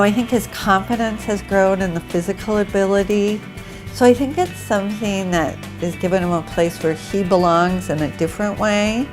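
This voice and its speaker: A middle-aged woman, an author and parent, speaking candidly and conversationally in an interview. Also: medium pitch.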